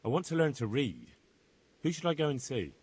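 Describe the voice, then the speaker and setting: Earnest, husky young investigator. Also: male voice, medium pitch, big pitch swings, a little fast, darkish tone. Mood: inquisitive. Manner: polite but insistent questioning. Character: earnest, dogged young man investigating for his lord. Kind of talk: video game cutscene acting.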